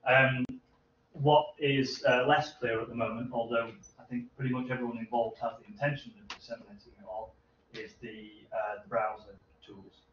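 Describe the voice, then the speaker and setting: A man's voice: mid-range voice, steady tone, normal speed, distant mic. Man presenting in an echoey room. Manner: hesitant, explanatory. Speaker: seminar presenter and researcher. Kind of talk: informal question-and-answer after a talk. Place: university seminar room, indoors. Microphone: distant camera microphone. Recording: echoey room from afar, dull, hissy.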